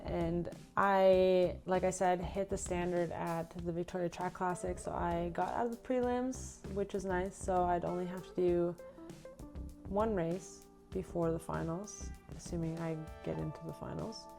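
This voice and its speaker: A woman's voice: fairly low pitch, steady tone, a little slow, creaky, a little mellow, soft background music. A young woman from Canada, a runner, chatting casually in a vlog and reading out her own race notes. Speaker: runner recapping her racing season.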